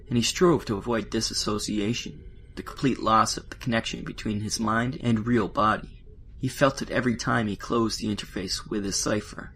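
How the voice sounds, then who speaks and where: A man narrates a science-fiction audio drama in a fairly low voice. He reads scripted prose, with faint noise and ambience behind him. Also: fairly bright tone.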